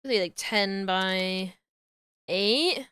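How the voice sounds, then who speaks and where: A woman on a live stream thinking aloud as she explains her rigging steps to chat, her voice going hoarse. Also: medium pitch, extremely slow, pushed and bright, no echo, studio quality. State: losing her voice. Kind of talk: casual live-stream talk while working.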